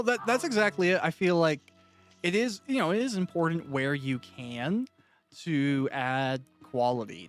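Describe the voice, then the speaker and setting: A man with a mid-pitched voice hosts a relaxed interview in a casual, conversational style. Faint music plays behind his voice. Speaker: interviewer, host of an audiobook cast interview.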